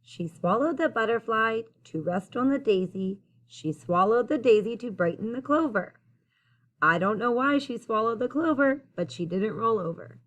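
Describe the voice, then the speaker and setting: Expressive woman reading a children's story. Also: on the high side, moderate pace, low-quality recording. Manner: expressive, sing-song, encouraging. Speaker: teacher reading a picture book aloud. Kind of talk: children's story read-aloud, addressing young listeners.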